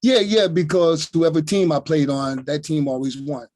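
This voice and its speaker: A man's voice: a little high, steady tone, moderate pace, a little mellow, no room sound, clean studio sound. An older man from Newark, a retired coach, telling easygoing stories about his childhood over a video call. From Newark, New Jersey. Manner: easygoing, leans on "you know what I'm saying". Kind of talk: relaxed storytelling.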